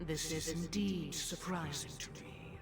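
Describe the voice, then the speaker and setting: An actor playing a lofty, grave old patriarch in a video game, speaking formally and deliberately, with music behind him. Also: male voice, a little high, steady tone, close to an everyday voice. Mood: grave surprise. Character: lofty old male dream master, grave, measured patriarch. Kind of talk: dramatic video game voice acting.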